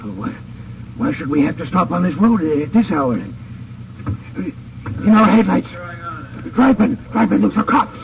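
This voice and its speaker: A man's voice: sits high, sing-song, measured pace, creaky, long pauses, full character voice. An actor playing a nervous, gullible American businessman with a hesitant, flustered delivery in an old radio crime drama. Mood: alarmed. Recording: very noisy, dull, distant radio recording.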